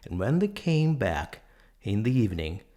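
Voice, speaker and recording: A man reading a scripted storybook narration in a measured, deliberate manner. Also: on the low side, sing-song, warm tone.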